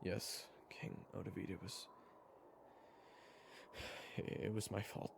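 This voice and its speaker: Man playing a gravelly, wry young adventurer. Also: sits low, level tone, slow pace, echoey room, in a large hall, noisy background, full character voice. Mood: nervous, pleading. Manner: dramatic, wry. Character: young male adventurer narrating his own story, sardonic, nervous. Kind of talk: first-person fantasy story narration and acting.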